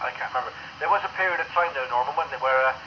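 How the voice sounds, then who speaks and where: A man's voice: mid-range voice, very fast, lo-fi sound. A man in his fifties chatting casually as an amateur radio operator, often repeating himself, heard over a radio link. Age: 50s. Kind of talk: casual two-way radio chat. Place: home radio shack. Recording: noisy, band-limited ham radio transmission.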